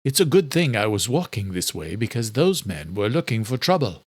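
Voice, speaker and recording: A man's voice: fairly low pitch, very animated, moderate pace, breathy edge, no room sound. Man acting a reassuring armed lawman, dry sound. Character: protective armed lawman, calm and reassuring, secretive.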